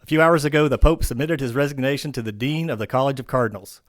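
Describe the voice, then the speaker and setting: An actor playing a formal, solemn television newsreader in an audio drama. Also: male voice, mid-range voice, fast talker, dry recording, studio quality, close to an everyday voice. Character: formal male television newsreader delivering breaking news. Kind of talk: news bulletin in an audio drama.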